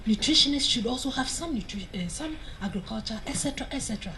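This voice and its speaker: Emphatic, higher-voiced woman making a point. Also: expressive, fast, noisy background. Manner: emphatic, persuasive. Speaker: conference speaker.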